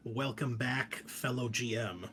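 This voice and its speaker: A man's voice: mid-range voice, measured pace, fairly bright tone, soft background music. A man hosting and running a tabletop game session, chatting casually and welcoming his players in a friendly way. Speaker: game master and show host.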